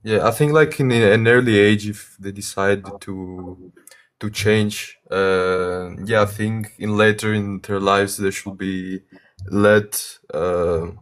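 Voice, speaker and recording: An eighteen-year-old man, not an English speaker, calling in to a debate and speaking haltingly with many uhs. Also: on the low side, steady tone. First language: Greek. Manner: halting, hesitant, many uhs, apologetic about his English. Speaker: caller. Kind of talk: casual call-in debate.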